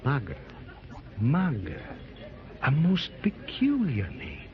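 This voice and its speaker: An actor playing a shrewd middle-aged Frenchman with a French accent, musing aloud in a theatrical, drawn-out way, in 1930s radio acting on a dull old recording. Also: medium pitch, wildly swooping pitch.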